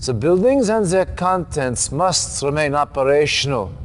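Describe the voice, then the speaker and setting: An actor playing a grave, authoritative military commander in a video game cutscene, speaking in a stern, formal, deliberate way. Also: male voice, a little high, lively intonation, a little hiss or hum. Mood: commanding.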